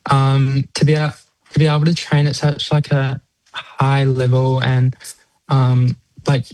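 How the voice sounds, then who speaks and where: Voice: mid-range voice, a little mellow, rough recording. A young adult athlete in their late teens with a New Zealand accent, answering interview questions conversationally and thoughtfully, with many small fillers. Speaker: competitive swimmer being interviewed.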